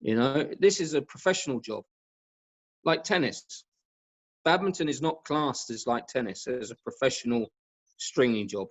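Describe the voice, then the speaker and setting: A man speaking as an expert guest in an informal discussion on a video call, halting and repeating words for emphasis. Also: medium pitch, lively intonation. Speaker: guest expert, professional badminton racket stringer. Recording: dull lo-fi video call audio.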